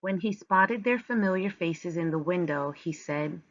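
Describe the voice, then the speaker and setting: A woman narrates a children's storybook in a fairly low voice. She takes it very slowly, with deliberate phrasing. The recording is a little dull and lo-fi. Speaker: storybook reader. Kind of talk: scripted children's read-aloud narration.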